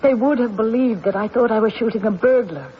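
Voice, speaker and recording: An actress playing a bitter, ambitious widow with a dramatic, aggrieved manner in a radio mystery. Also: female voice, fairly high pitch, brisk, close to an everyday voice. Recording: dull, noisy old radio broadcast.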